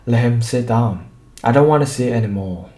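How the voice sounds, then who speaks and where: A man's voice: mid-range voice, normal speed, dark tone, roomy sound, natural voice. A man voicing a polite, reserved London doctor in an audiobook, courteous and a little hesitant. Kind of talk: audiobook character dialogue.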